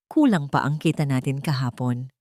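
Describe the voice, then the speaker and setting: A woman's voice: a bass voice, animated, normal speed, warm tone, no echo, voice pushed down. A woman storyteller plays a poor husband and worried father in an audio drama. He speaks earnestly and tenderly to his family. The sound is very dry. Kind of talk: audio story character dialogue.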